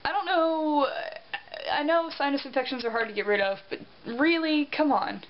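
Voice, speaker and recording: A tired young woman chatting into her camera at home in a rambling, self-deprecating way. Her voice is congested and a little rough from a sore throat. The lo-fi recording sounds dull, with a faint hiss underneath. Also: high voice, moderate pace. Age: young adult. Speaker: vlogger. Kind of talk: casual vlog chat.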